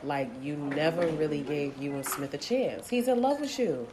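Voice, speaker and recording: A woman's voice: sits low, animated, moderate pace. A woman playing a prying but caring young best friend in an audio drama, with crowd chatter behind her. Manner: prying, blunt, teasing. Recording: crowd noise in the background.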